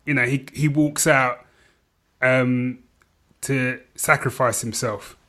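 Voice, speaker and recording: A man's voice: mid-range voice, steady tone, slow, bright, forceful tone. A man co-hosting a podcast, chatting casually about films in a rambling, conversational way. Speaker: podcast co-host. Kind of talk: casual film chat.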